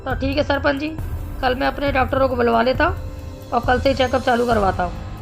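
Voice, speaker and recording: A woman plays a concerned village doctor in a cartoon story, grave yet reassuring. The voice is fairly high and gravelly, explaining carefully, with soft music behind it. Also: fairly even intonation, brisk. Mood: earnest. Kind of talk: animated Hindi story dialogue.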